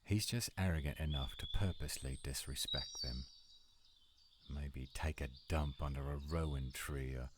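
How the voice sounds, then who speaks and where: An extremely low-pitched, gruff werewolf lover acted by a man murmurs through a romantic audio roleplay. He teases in a folksy, easygoing way and slips in endearments. Loud birdsong and insect noise from the woods surrounds him throughout. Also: moderate pace. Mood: disdainful, grumbling. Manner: teasing, folksy, drawling endearments. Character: gruff tsundere male werewolf, flirtatious, laid-back romantic. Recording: noisy outdoor ambience with birdsong, insects.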